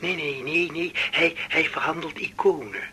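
An actor playing a courteous, hesitant struck-off doctor on the phone in a radio drama, speaking in a halting, formal, husky way. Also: male voice, a little high, crowd noise, lightly acted. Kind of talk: Dutch radio drama acting.

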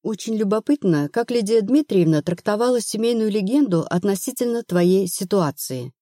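A woman narrator playing a brisk, rational female investigator in an audiobook, blunt and assured. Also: medium pitch, expressive, dark tone, dry recording, studio quality, natural voice. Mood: probing. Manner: matter-of-fact, assured.

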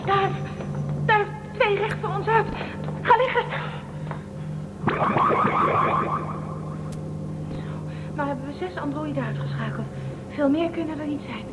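Casting: excitable young female space fugitive, high and very lively, radio-drama style, slightly off-mic. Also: normal speed, pauses often, recorded from a distance, music in the background, poor audio. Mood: alarmed. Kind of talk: Dutch radio drama acting.

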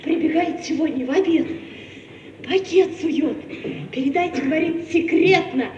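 An actress as an excitable, chatty woman in an old radio comedy, very high in pitch and theatrically mocking. The sound is muffled and hissy, with a long reverberant ring around her. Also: moderate pace, recorded from a distance, natural voice. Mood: mocking, gossipy. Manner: animated, theatrical. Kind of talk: mid-century Soviet radio theatre acting.

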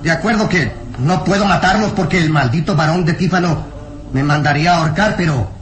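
A man's voice: fairly high pitch, fairly quick, fairly bright tone, lightly acted. An actor playing a burly, hostile peasant man in an old radio serial, blustering threats. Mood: angry, threatening. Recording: roomy, noisy old radio drama recording.

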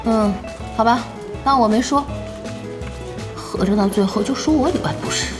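A woman playing a lively, exasperated young gamer in an audio drama, expressive, emphatic and chatty. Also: a little high, moderate pace, close to an everyday voice. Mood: indignant. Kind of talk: animated Chinese audio drama acting. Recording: music bed under the dialogue.

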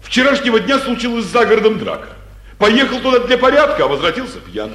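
An actor playing a timid, obsequious provincial police officer who reports deferentially, in a 1940s stage comedy for radio. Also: male voice, extremely high pitch, very animated, fairly quick, pushed and bright, full character voice. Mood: servile reporting. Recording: roomy old 1940s radio recording.

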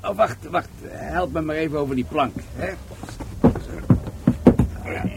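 A man's voice: on the high side, unhurried, gravelly, very noisy. A male actor playing a kindly, wry older police commissioner with an avuncular, dry manner in a radio play. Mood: kindly, fussing.

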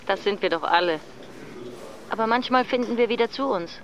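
A woman's voice: mid-range voice, brisk, highs cut off, a little hiss or hum, lightly acted. An actress playing a caring, reflective female car rental clerk in an audio drama. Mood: gently wry.

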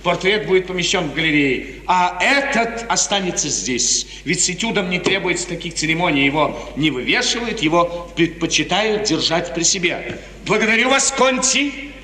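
Lovestruck imperious prince, high voice, theatrical radio drama, long echoing hall. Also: male voice, expressive, normal speed, bright, forceful tone. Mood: gracious, eager. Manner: theatrical, rapturous, repeats phrases emphatically. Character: ardent, imperious young prince, lovestruck and impatient. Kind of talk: 1950s Soviet radio theatre acting. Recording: roomy, distant 1950s radio recording.

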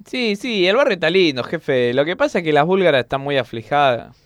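A man's voice: fairly high pitch, big pitch swings, fairly quick. An Argentine man playing a deferential, inquisitive henchman in a comedy radio sketch.

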